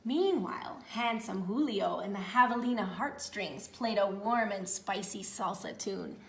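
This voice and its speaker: A woman reading a children's storybook aloud with expressive, theatrical phrasing and drawn-out words. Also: mid-range voice, gravelly, a little hiss or hum. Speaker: storybook reader.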